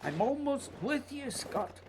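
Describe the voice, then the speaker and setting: A man acting in a radio play as a wistful, anxious writer who dreams himself onto the polar ice, speaking in a halting, poetic way. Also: very high-pitched, animated, noisy background, exaggerated voice. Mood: tentative.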